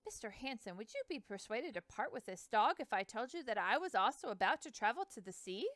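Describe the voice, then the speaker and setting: A woman's voice: a little high, big pitch swings, a little fast, a bit bright, dry recording, clean studio sound, voice pushed up. A woman voicing an earnest, courteous young male explorer who speaks eagerly and politely.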